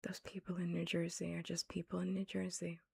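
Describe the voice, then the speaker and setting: A defiant teenage girl, whispered by a woman reading aloud, hushed and breathy with a low, flat delivery. Her words stay quiet and unbothered. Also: fairly quick, exaggerated voice.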